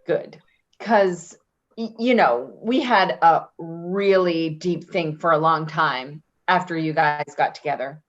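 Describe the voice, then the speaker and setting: A woman's voice: low-pitched, takes it slowly. A woman playing a breezy, evasive, self-serving friend in an offhand, casual play reading. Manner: offhand, giggly, hedging. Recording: dull lo-fi recording.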